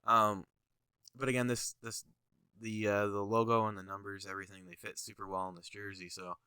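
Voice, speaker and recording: A young man with a stuffy, congested voice wanders through casual commentary full of fillers and tangents. His tone is bright and a little tense, pitched slightly low, and the recording is close and dry. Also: flat delivery, a little fast, clean tone, no echo. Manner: rambling, lots of fillers, goes on tangents. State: stuffy nose, sniffling, tired. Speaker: channel host reviewing his own jersey designs. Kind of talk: casual commentary over design images.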